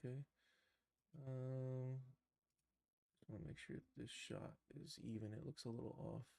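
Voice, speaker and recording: Gravelly-edged man giving a casual tutorial. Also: mid-range voice, flat delivery, a little slow, warm tone, dry recording. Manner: tentative, musing, informal. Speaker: streamer teaching animation software. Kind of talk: casual live tutorial, thinking aloud.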